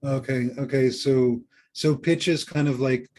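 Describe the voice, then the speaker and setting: Male podcast co-host, medium pitch, casual coaching talk, halting phrasing that often circles back. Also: steady tone, a little mellow.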